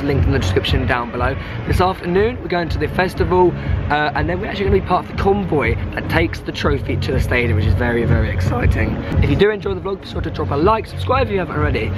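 A young man, hoarse from losing his voice, vlogging excitedly to a handheld camera outdoors at a busy event. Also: a little high, background noise. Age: young adult. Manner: excitable, chatty, jokey. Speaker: football vlogger. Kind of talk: casual vlog to camera. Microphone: handheld camera at arm's length.

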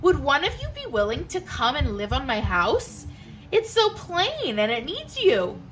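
A woman voicing a gentle, polite male hermit crab in a storybook, soft and earnest. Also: sits high, wildly swooping pitch, unhurried, faint music behind, exaggerated voice, rough recording. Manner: soft, earnest, asking kindly.

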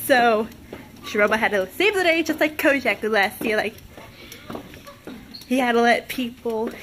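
A woman with a high voice records a casual vlog on a handheld phone. She talks slowly, rambling and repeating herself in halting bits. There is clear noise around her in the indoor space. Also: a bit bright. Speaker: convention vlogger. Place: hotel corridors, elevator and lobby, indoors. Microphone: phone at arm's length.

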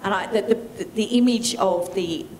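A woman in her fifties moderating a conference Q&A with a handheld mic, chatty and warm, often restarting her sentences. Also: mid-range voice, moderate pace, slight background noise. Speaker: event moderator. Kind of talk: moderating a panel Q&A. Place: large conference hall with audience.

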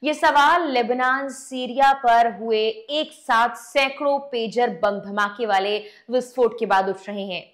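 A woman's voice: a little high, unhurried, few pauses. A woman in her thirties presenting a scripted news explainer, recorded on a lapel mic in a studio. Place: indoor studio.

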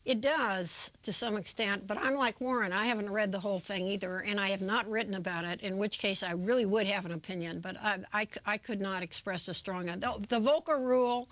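A woman's voice: mid-range voice, brisk, hardly any pauses, fairly bright tone, dry recording, poor audio. A woman, an author guest on an interview, speaking thoughtfully and hesitating often as she chooses her words. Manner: thoughtful, many fillers, searches for words. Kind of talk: conversational interview.

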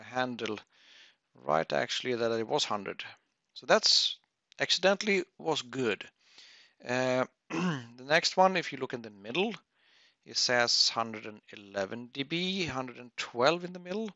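Guy doing a webinar software walkthrough, slow n halting, dull dry audio. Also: mid-range voice, expressive, no room sound. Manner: halting, thinking aloud, frequent throat clearing. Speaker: webinar presenter. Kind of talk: unscripted software tutorial.

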